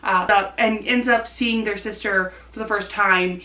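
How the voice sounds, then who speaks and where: A woman's voice: medium pitch, fairly even intonation, measured pace, sharp tone. A woman chatting casually and enthusiastically about books as a vlogger, recorded in a roomy space at some distance from the mic. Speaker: book vlogger. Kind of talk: casual book review chat to camera.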